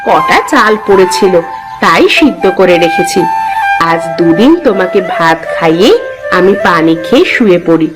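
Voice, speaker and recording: A woman's voice: fairly low pitch, expressive, normal speed, music in the background, natural voice, low-quality recording. A woman voicing a scheming, coaxing young village wife in a theatrical cartoon moral tale. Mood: plaintive, coaxing.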